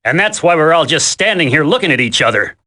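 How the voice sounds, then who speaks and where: Brash, forceful male squad leader. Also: on the high side, sing-song, fast talker, heavily acted. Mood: bossy, urgent. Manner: brash, slangy, bossy. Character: gruff, impatient, wisecracking male squad leader. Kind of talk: video game voice acting.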